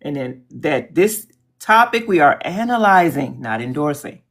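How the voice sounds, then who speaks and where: A woman's voice: low voice, sing-song, unhurried, fairly bright tone. Woman co-host chiming in with agreement. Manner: backs up the host with short agreements, thanks viewers by name. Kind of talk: casual live commentary.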